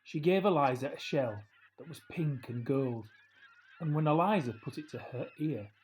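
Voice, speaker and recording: A man narrating a children's story as a storyteller, with measured, expressive phrasing and deliberate pauses. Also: a little high, slight background noise, poor audio.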